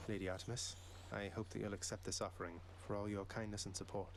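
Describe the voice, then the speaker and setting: An actor voicing a young underworld prince in a video game, speaking in a low-key, dry, measured way. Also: male voice, little pitch movement, very noisy, natural voice. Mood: reverent. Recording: game voice over loud music.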